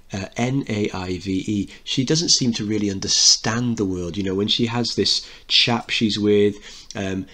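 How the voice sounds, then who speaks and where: A man's voice: on the low side, a bit bright. A man teaching a recorded literature lesson, explaining a play and posing questions to students. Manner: explanatory, checks understanding with questions.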